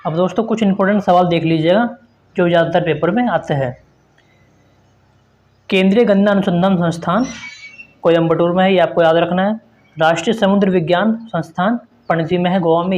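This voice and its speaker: A man's voice: high voice, darkish tone, lo-fi sound. A man teaching an exam-prep quiz lesson, reading out questions and explaining answers in an instructive way with repeated emphasis.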